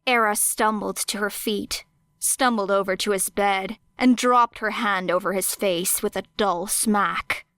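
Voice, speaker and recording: A woman reading scripted dramatic fiction as an audiobook narrator, measured and expressive. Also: mid-range voice, clean tone, no room sound, studio quality.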